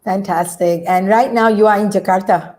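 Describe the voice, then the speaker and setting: Woman host, eager and upbeat, medium pitch. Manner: excited, fast and bubbly. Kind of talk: energetic interview hosting.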